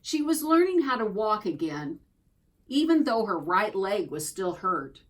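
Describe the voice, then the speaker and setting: A woman reading a children's picture book aloud as a storyteller, expressively and deliberately, drawing out key words. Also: mid-range voice, big pitch swings, poor audio. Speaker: storybook reader.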